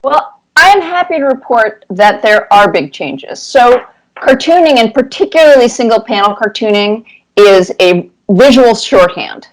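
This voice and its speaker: Middle-aged woman, interview guest. Conversational, thoughtful, picks her words deliberately. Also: on the high side, expressive, rough recording.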